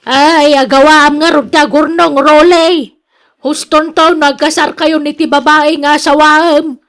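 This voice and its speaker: An actress playing a domineering mother of a grown son, scolding and nagging loudly in radio drama style. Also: high-pitched, little pitch movement, a little slow. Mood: scolding, disapproving. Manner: loud, nagging.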